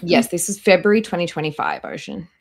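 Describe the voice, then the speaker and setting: A woman's voice: fairly low pitch, huge pitch swings, brisk, vocal fry. A woman hosting a live stream with casual, blunt, critical reaction commentary and some swearing.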